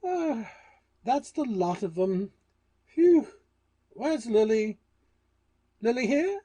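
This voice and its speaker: A fatherly, blustering theatre governor acted by a man in a period satirical audio play. His delivery is very drawn out and mellow, with big swoops of pitch. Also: lively intonation, takes it slowly, heavily acted. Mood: puffed, eager. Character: fussy, fatherly theatre boss, puffing and affectionate.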